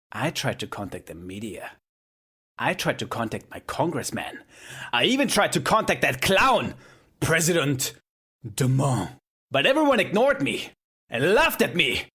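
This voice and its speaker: An actor playing an unhinged, vengeful mad-scientist villain in an audio drama, with grandiose, drawn-out delivery. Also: mid-range voice, very animated, a bit bright, breathy edge. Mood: aggrieved, bitter.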